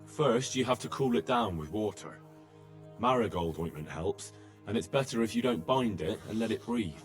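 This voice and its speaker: Earnest young blacksmith played by a man, lively intonation. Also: fairly low pitch, moderate pace, faint music behind, lightly acted. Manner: earnest, polite, tentative. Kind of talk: dramatic video game acting.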